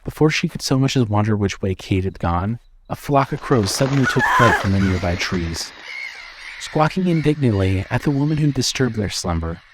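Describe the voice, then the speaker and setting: A man's voice: fairly low pitch, expressive, normal speed, noisy background. A man reading scripted suspense fiction as a narrator.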